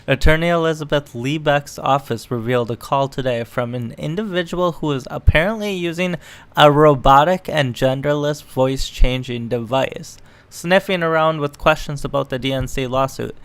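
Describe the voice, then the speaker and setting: A man's voice: a little high, moderate pace, a bit bright, clean tone, no room sound. A man reading news articles aloud and adding casual, sarcastic, opinionated commentary as an online political commentator. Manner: sarcastic, mocking.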